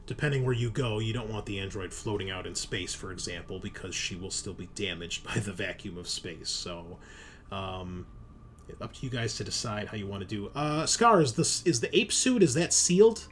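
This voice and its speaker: Male game master, low voice, halting improvised narration, some noise behind him. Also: lively intonation. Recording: noticeable background noise.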